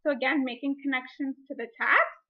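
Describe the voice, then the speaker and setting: High-voiced woman teaching through a read-aloud. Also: fairly quick. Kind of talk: picture-book reading with teaching explanation. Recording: dull lo-fi recording.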